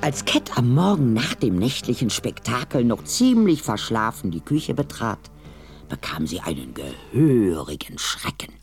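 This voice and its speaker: A man narrating a scripted audio drama in a dramatic, expressive storytelling style. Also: medium pitch, unhurried, music in the background.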